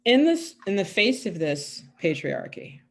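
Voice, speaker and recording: A woman's voice: mid-range voice, measured pace. A woman from Canada, a guest on a podcast, talking casually and emphatically, telling stories with bursts of laughter. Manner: emphatic, animated storytelling with laughs. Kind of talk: casual conversation.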